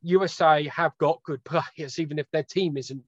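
A man's voice: a little high, fairly even intonation, normal speed, no echo. Rambling man on a dull video call. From Wales. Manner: rambling, self-correcting, dry humour. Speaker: podcast guest, football fan. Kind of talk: casual chat over video call.

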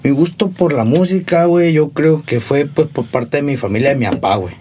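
A man's voice: fairly high pitch, fairly quick, warm tone, a little hiss or hum. A man in his twenties from Sonora with a Sonoran accent, a musician guest telling his story in a laid-back, slangy, rambling way. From Sonora, Mexico. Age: 20s. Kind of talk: casual interview storytelling.

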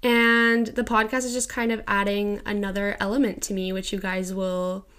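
Gushing, excitable young woman podcaster. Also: medium pitch, moderate pace, clear, resonant tone. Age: 20s. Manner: gushing, excitable, lots of "like" and "literally". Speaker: podcast host. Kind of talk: casual solo podcast chat. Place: quiet room at home. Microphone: home podcast microphone.

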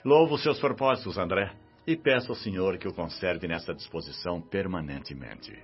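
An actor playing a benevolent, paternal minister in a radio drama, speaking in a measured, sententious way. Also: male voice, sits low, natural voice. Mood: welcoming, genial. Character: benevolent, wise government minister of the spirit world. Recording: dull lo-fi radio drama with music.

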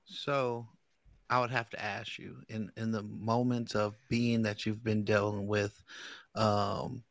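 A man's voice: lowish voice, little pitch movement, slow, creaky, no echo. A man co-hosting an interview and talking in a casual, conversational way.

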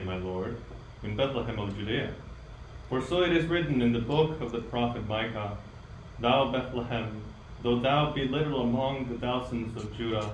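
An amateur actor playing a cautious, formal high priest in a radio play, reciting in a measured way. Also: male voice, natural voice. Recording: roomy, faint noise.

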